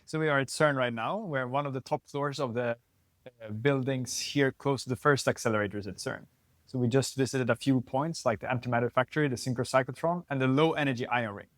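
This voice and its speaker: A man in his twenties from northern Sweden, a physics PhD student, calmly explaining his research in an interview. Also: mid-range voice, fairly quick, no echo. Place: lab building at a physics facility.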